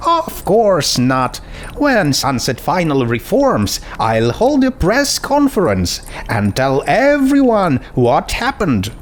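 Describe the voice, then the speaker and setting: A man's voice: fairly high pitch, wildly swooping pitch, slow, pitched above the performer's own voice, exaggerated voice. A man voicing a self-righteous young female pony princess in a storybook reading, earnest, gushing and pedantic. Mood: proud, beaming. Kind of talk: storybook character voice in fan fiction reading.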